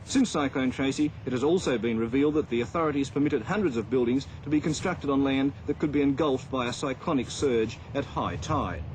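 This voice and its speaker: A man's voice: mid-range voice, a little fast, hardly any pauses, a little mellow. A man delivering a scripted 1970s television news report as a correspondent. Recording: faintly noisy 1970s outdoor report.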